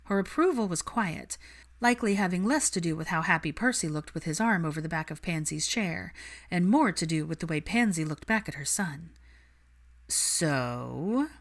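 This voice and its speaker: A woman's voice: on the low side, a little fast. A woman reading a story aloud as a narrator, in a scripted storytelling style. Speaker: audiobook-style narrator of fan fiction.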